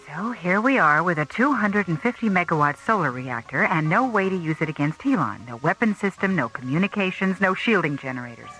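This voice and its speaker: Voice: medium pitch, animated, brisk, hardly any pauses, bright, forceful tone, faint music behind, low-quality recording. An actor playing an earnest, practical starship officer in a science fiction radio drama, urgent and questioning.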